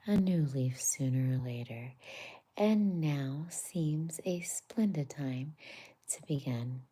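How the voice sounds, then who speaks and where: A woman voicing an earnest, sensible male water rat in a soft whisper. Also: low voice, breathy edge, full character voice.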